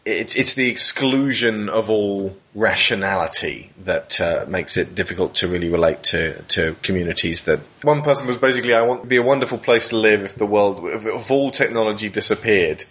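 A man's voice: fairly low pitch, a little fast, hardly any pauses, fairly bright tone, breathy edge, dull audio. A man conducting a casual interview in a hesitant, thoughtful, wordy way.

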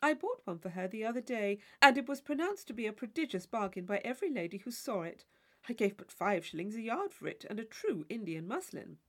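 Woman reading as a witty, teasing young gentleman. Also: on the high side, sing-song, a little fast. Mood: self-assured. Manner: arch, playful, articulate. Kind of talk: audiobook character dialogue.

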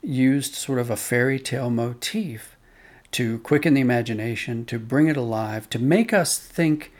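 A man's voice: medium pitch, moderate pace, darkish tone. A man talking thoughtfully as an interview guest, explaining with emphasis on key words. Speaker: interview guest, audio drama producer.

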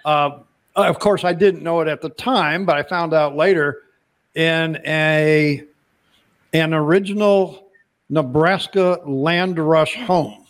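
A man's voice: fairly high pitch, takes it slowly. A man hosting a podcast interview, talking in a loose, rambling way and often repeating words as he starts a sentence. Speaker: podcast host. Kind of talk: casual interview chat.

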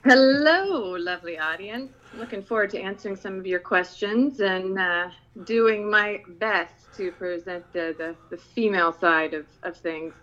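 A woman's voice: mid-range voice, measured pace, hardly any pauses, a bit bright, dull audio. A woman from the Philippines, co-hosting a casual talk show, light and giggly, with many hesitant fillers. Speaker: co-host.

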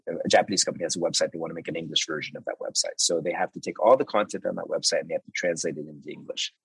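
Guest spot: low, husky-voiced man, patient explainer walking through his job, dry close mic. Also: fairly bright tone, no room sound, low-quality recording. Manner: explains step by step with examples, many restarts. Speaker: guest, translator and interpreter. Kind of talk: casual interview talk.

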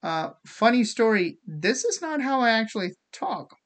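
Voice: mid-range voice, very animated, moderate pace, fairly bright tone. A speaker of unclear sex, from India, with an American accent that carries traces of Indian, giving a casual, opinionated rant in a theatrical, sarcastic manner. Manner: theatrical, sarcastic, swearing, acts out mock quotes. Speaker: host of the show.

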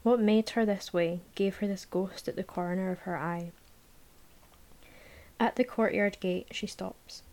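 A woman reads a novel aloud at a middle pitch. Her narration is measured and calm, dropping to a near whisper at times. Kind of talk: audiobook narration, present tense.

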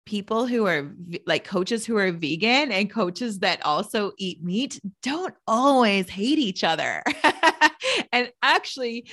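A woman's voice: medium pitch, lively intonation, unhurried, fairly bright tone. A woman hosting a podcast, chatting casually with a guest, laughing often and filling her talk with "like". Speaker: podcast host, trainer.

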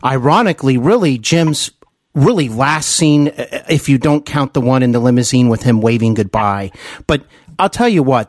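A man's voice: medium pitch, no echo, studio quality. A man hosting a podcast interview conversationally, with an admiring, attentive manner.

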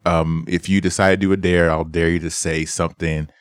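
A man's voice: sits low, level tone, brisk, clean tone, dry recording, studio quality. A man hosting a sports podcast, joking loosely while reading through a guest's bio. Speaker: podcast host. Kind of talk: casual interview chat.

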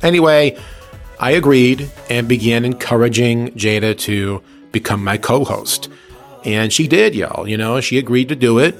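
A middle-aged man, a radio personality, telling stories in a conversational, easygoing way with slangy asides to listeners. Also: mid-range voice. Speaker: radio personality recounting his career. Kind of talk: conversational storytelling.

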